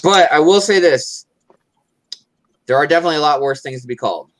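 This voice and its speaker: A man's voice: on the high side, a little fast, a bit bright. A man co-hosting a casual livestream chat, laid-back and saying "dude" and "man" a lot. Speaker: livestream co-host.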